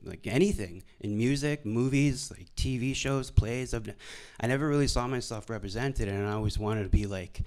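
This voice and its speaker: A young man from Alberta, doing stand-up storytelling on a stage microphone in a deadpan, halting, self-deprecating way. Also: medium pitch. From Alberta, Canada. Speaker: stand-up comedian. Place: live venue stage before an audience.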